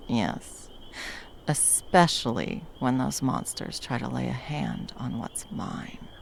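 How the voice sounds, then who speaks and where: A woman playing a protective, tender monster hunter in an intimate ASMR roleplay, speaking haltingly and breathily, often dropping toward a whisper. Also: extremely low pitch, big pitch swings, creaky, slight background noise, full character voice. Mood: tender, hesitant. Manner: halting, coaxing, speaking to a beloved. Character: wandering female monster hunter, fiercely protective, tender lover.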